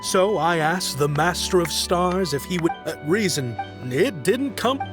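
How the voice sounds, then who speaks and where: A gullible, grateful merchant from a fantasy game. His voice is on the high side for a man, earnest and a bit hesitant, with clear music behind. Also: expressive, unhurried. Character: credulous male merchant, a grateful devotee. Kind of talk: video game voice acting. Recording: background music.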